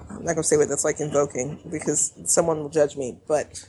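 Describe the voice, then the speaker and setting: A woman's voice: low-pitched, fairly even intonation, moderate pace, mellow, slight background noise, low-quality recording. A woman with a Chicago accent, co-hosting a casual podcast chat, talking freely and trailing off between thoughts. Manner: chatty, trailing off and restarting. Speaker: podcast co-host.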